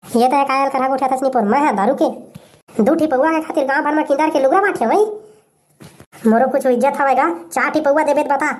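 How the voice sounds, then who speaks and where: Casting: woman for cantankerous elderly male villager, raised pitch, rustic colloquial manner, Chhattisgarhi accent, comic cartoon. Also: brisk, natural voice. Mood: bargaining. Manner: grumbling, threatening, colloquial. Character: irritable, liquor-greedy old village man.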